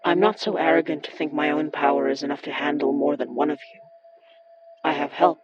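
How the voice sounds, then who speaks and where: A man playing a determined, guarded occult investigator in a horror audio drama, with a measured, probing delivery. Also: on the high side, steady tone, highs cut off, natural voice. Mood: resolute. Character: determined, guarded young occult investigator who summons spirits.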